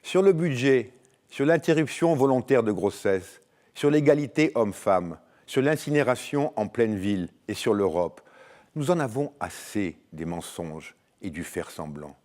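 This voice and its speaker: Man, 60s, low pitch, firm, insistent, campaign address. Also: expressive, unhurried, a little mellow. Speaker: candidate.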